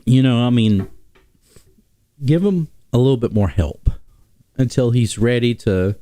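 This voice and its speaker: A man hosting a casual sports talk stream, sick and nasal, rambling with many pauses and restarts. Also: mid-range voice, expressive, dark tone, dry recording. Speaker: podcast host.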